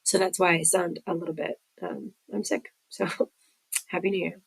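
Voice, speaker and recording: A woman's voice: lowish voice, level tone, normal speed, creaky, breathy edge, no echo, low-quality recording. A woman hosting a personal recovery podcast, congested from a head cold, talking candidly with many fillers. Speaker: podcast host.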